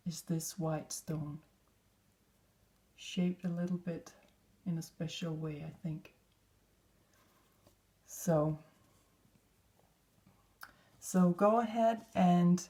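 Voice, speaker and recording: Slow, low-voiced Danish woman, mellow. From northwestern Denmark. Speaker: tarot and oracle card reader. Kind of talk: unscripted spiritual card reading to viewers.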